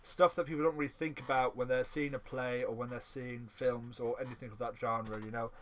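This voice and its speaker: A man's voice: mid-range voice, steady tone, fairly quick. Guy co-hosting a podcast, chatty n jokey, rambles and repeats words, bit of background noise. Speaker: podcast co-host interviewing a friend. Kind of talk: casual, chatty interview.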